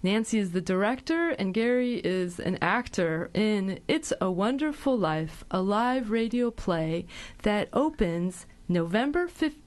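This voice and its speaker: A woman's voice: medium pitch, animated, measured pace. An American woman hosting a casual radio interview, conversational and often rephrasing as she goes. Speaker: radio show host. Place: radio studio. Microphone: studio broadcast microphone.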